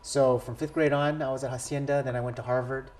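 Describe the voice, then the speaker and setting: An American man in his thirties speaks at a mid pitch, answering interview questions fluently and steadily. He sounds composed and explains things clearly. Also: flat delivery, fast, a little mellow, slight background noise. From United States. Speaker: school board member.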